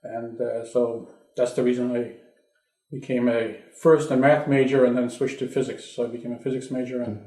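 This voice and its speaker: A man's voice: mid-range voice, level tone, mellow, a little hiss or hum. Older Hungarian-born man, roomy home recording. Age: 60s. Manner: reflective, halting with restarts. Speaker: interviewee. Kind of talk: oral history interview. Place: his home.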